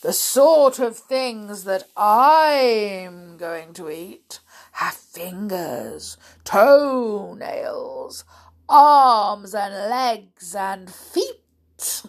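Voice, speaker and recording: A huge, wicked crocodile from a children's storybook, voiced by a woman, gloats and boasts. He savours each greedy word very slowly, with a swooping, lively pitch. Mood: gloating.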